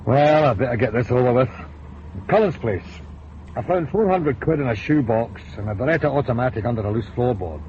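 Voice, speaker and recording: Actor as a halting detective, mid pitch, dull broadcast, faint hiss. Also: male voice, lively intonation, lightly acted. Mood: hesitant. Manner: hesitant, halting. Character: hesitant male detective reporting findings. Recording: dull old radio broadcast with faint noise.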